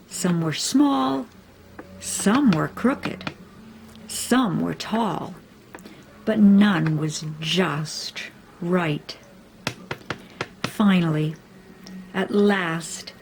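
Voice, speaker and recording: A woman reading a children's story aloud, expressively and deliberately, with dramatic emphasis. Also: on the low side. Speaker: storybook reader. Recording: faint background noise.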